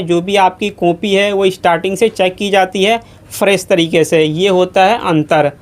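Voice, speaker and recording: Male educational explainer, methodical and repetitive, addressing students step by step. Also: high-pitched. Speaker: educational YouTuber advising students.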